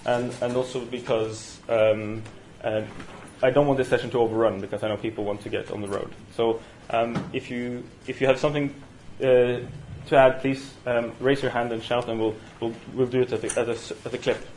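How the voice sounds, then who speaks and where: Norwegian man teaching a halting seminar. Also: medium pitch, fairly even intonation, darkish tone, a little hiss or hum, rough recording. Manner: halting, many fillers and restarts. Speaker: teacher leading a study session. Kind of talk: university seminar lecture. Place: university room. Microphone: single room mic.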